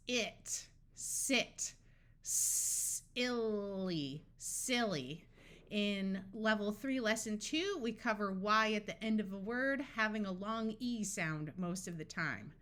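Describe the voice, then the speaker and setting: A woman narrating a scripted phonics lesson as a reading tutor, deliberately sounding out words piece by piece. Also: medium pitch, a bit bright.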